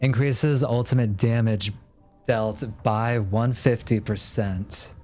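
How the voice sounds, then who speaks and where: A man's voice: mid-range voice, steady tone, takes it slowly, dark tone. A man streaming a video game with casual live commentary, tossing out exclamations and muttering as he reads along. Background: faint game music.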